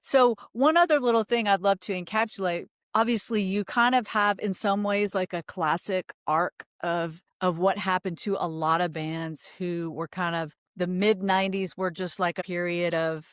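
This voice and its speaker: Middle aged lady podcast host, lowish voice, chatty interview style, really dry sound. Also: normal speed, hardly any pauses, a bit bright, clear, resonant tone, dry recording.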